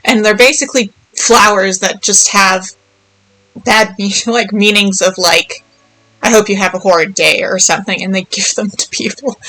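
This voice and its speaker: A woman's voice: mid-range voice, pushed and bright, slight background noise, low-quality recording. A young woman giving casual game playthrough commentary, tossing offhand, playful asides to the characters. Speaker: game streamer.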